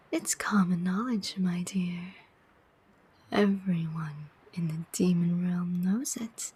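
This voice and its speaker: A woman's voice: fairly low pitch, darkish tone, exaggerated voice. A woman voicing a cunning, taunting female demon collector of souls in a whispered ASMR roleplay. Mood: smug. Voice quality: breathy whisper. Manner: slow, deliberate, taunting.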